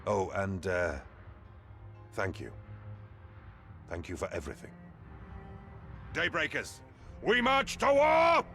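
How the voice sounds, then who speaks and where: An actor playing a solemn, resolute leader of holy warriors in a fantasy game, formal and deliberate in his speech. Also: fairly low pitch, wildly swooping pitch, a bit bright, full character voice. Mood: rallying. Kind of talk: video game voice acting. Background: game music.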